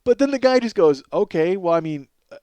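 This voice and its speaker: A man hosting a podcast, telling a casual, profane comic story in an animated, exaggerating way and acting out both sides of conversations. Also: sits high, a little fast, dry recording. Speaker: podcast host.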